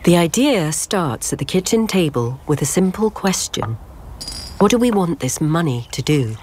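Woman, ad voice-over, very low pitch, lively intonation, calm scripted read. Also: moderate pace, a little hiss or hum. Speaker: advert voice-over. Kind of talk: scripted ad narration.

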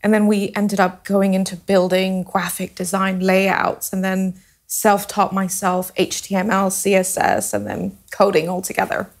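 A woman's voice: medium pitch, flat delivery, unhurried. A woman in her thirties from India and Singapore, a company founder talking openly in a radio interview. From India, raised in Singapore. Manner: open, talkative, with fillers.

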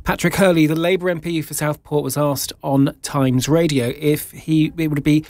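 A man reading a radio news bulletin in a measured, formal way. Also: on the high side, fairly even intonation, a little mellow, dry recording. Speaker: newsreader.

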